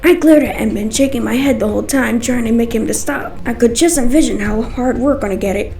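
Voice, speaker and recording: A woman's voice: a little high, brisk, dark tone, far from the mic. A woman narrating a scripted first-person story as its storyteller. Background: music.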